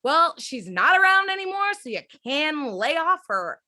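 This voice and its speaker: Woman playing a high, bright, defiant teenage girl. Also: sing-song, moderate pace, full character voice. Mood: resentful. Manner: sullen, confrontational, profane. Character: pissed-off, defiant sixteen-year-old daughter of a convict. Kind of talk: amateur script table read.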